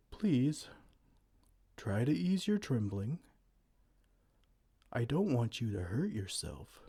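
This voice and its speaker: A man voicing a gentle, kind male giant who talks softly and soothingly in an ASMR roleplay. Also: medium pitch, breathy edge, exaggerated voice. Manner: soft, soothing, comforting.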